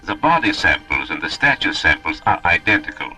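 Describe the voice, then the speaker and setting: Male professor for sci-fi radio: authoritative, low voice with lively inflection, matter-of-fact gravity. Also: fairly quick, breathy edge, soft background music, full character voice, rough recording. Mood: grave, explaining. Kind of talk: radio drama acting.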